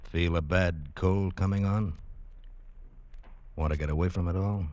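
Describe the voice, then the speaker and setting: A man's voice: low-pitched, steady tone, unhurried, a little mellow, highs cut off. A man opening an old radio drama with a grave, suspenseful delivery. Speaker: radio announcer. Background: orchestral music.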